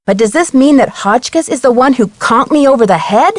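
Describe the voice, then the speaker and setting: Young female amateur sleuth, inquisitive and sincere, high-pitched, close dry game recording. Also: lively intonation, fairly quick, no echo. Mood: earnest, curious. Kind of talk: video game voice acting.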